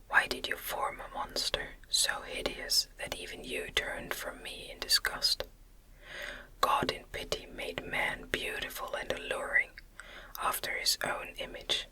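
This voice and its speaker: A woman reading a gothic novel as an ASMR narrator in a gentle, breathy, unvoiced whisper, close to the microphone. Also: low-quality recording. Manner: gentle, deliberate literary reading. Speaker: audiobook narrator.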